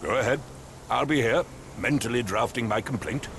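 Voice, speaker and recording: An actor playing a pompous, impatient middle-aged captain in a video game, with an English accent and clipped, condescending speech. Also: male voice, medium pitch, moderate pace, gravelly, noisy background, lightly acted. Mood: dismissive. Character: pompous, impatient male English captain, haughty wealthy master.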